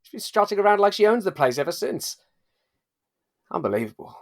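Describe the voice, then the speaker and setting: A man's voice: high voice, very animated, a little fast. A man playing a catty, exasperated cast member gossiping in a mock documentary interview. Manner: mimics others mockingly, exaggerated.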